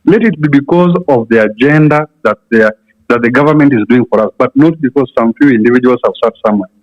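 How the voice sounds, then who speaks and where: Kenyan man calling in, muffled line. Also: mid-range voice. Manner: earnest, repetitive restarts, slow emphatic stresses. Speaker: radio caller. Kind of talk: call-in opinion. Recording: dull lo-fi phone-in sound.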